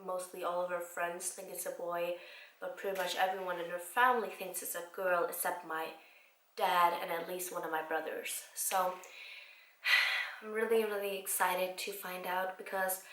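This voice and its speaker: Slightly raspy young woman vlogging. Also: on the low side, flat delivery, normal speed, fairly bright tone, echoey room, slight background noise, rough recording. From Iceland. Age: 20s. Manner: chatty, many fillers and "like". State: recovering from a cold. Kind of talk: casual chat to camera. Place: home room.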